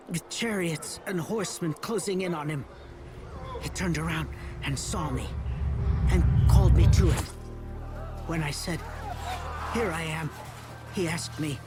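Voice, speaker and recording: An actor playing an anxious young battlefield messenger in a dramatised audio Bible, reporting earnestly and haltingly. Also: male voice, a little high. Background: music.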